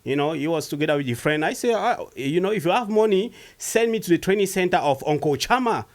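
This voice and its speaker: Brief: man from Cameroon, comedian guest, animated storyteller, slightly higher voice. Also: quick pace. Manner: animated, rising pitch when retelling stories. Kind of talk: casual storytelling interview.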